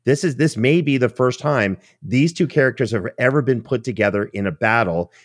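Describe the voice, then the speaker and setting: A man's voice: mid-range voice, moderate pace, no echo, clean studio sound. A man hosting a show in a chatty, teasing, conversational way. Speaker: podcast host.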